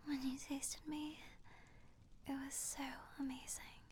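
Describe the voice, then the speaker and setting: A woman speaking in a breathy, often whispered voice, affectionate and intimate, as in adult roleplay audio addressed to a partner. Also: sits high, flat delivery, takes it slowly, a little mellow, a little hiss or hum.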